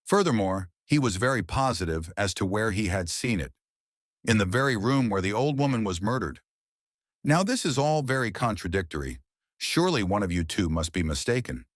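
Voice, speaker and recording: A man voicing a shrewd, confident male detective who questions in a sharp, probing, sarcastic way, in an audiobook. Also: on the low side, expressive, moderate pace, no room sound, clean studio sound, pitched above the performer's own voice. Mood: probing, accusing. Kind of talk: audiobook character voice.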